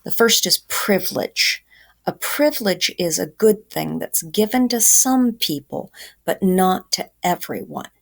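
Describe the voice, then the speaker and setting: A woman's voice: on the low side, lively intonation, a little slow, gravelly. A woman teacher giving children a reading lesson, explaining simply and instructively.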